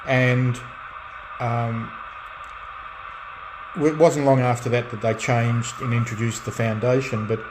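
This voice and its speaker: A middle-aged man in a relaxed off-the-cuff chat as a radio hobbyist, speaking in a halting, reflective way and often restarting his phrases. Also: mid-range voice, background noise. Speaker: radio hobbyist and restorer presenting own channel.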